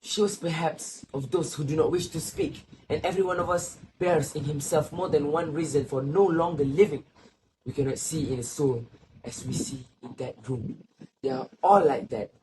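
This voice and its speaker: Man acting as a solemn old grandfather, lightly pitched. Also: moderate pace, lightly acted. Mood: grave, reflective. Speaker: student actor. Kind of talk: literary stage play acting. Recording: faint background noise.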